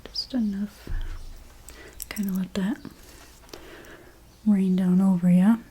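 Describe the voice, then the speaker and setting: Female ASMR hairstylist role: heavily breathy whisper, slow, mellow, gentle personal-attention delivery. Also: medium pitch, flat delivery. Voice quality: breathy, mostly unvoiced whisper. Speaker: ASMR creator playing a hairstylist.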